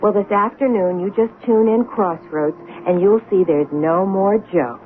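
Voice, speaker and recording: A woman's voice: mid-range voice, unhurried, lightly acted. An actress in a 1970s radio drama playing a stubborn, matter-of-fact woman obsessed with a soap opera. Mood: stubborn, grimly satisfied. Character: obsessed soap opera fan, a woman convinced fiction is real. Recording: tinny narrow-band 1970s radio recording, noisy.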